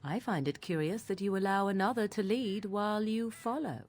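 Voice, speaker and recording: A woman's voice: mid-range voice, normal speed, a little mellow, close to an everyday voice. A woman playing a haughty, mocking sorceress in a video game, with archaic, sardonic, needling phrasing. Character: haughty, mocking wild sorceress with a sly tongue.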